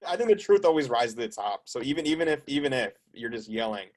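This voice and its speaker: Fast-talking, stumbling male host. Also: medium pitch, big pitch swings. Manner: rapid, stumbling restarts, eager. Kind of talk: casual interview chat.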